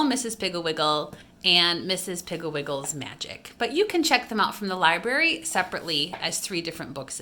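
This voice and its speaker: A woman's voice: lowish voice, sharp tone. A woman hosting a homeschool recommendation vlog, talking casually and encouragingly as one parent to others. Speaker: homeschool video host and parent.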